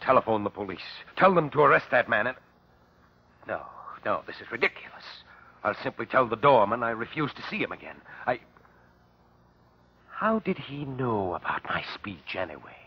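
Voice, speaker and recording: A man's voice: medium pitch, sing-song, moderate pace, husky. An actor playing an imperious, irascible male academic in a radio drama, with a blustering and cutting way of talking. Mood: indignant. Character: haughty, irascible male academic, furious and contemptuous. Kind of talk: old radio drama acting. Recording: dull lo-fi vintage radio drama.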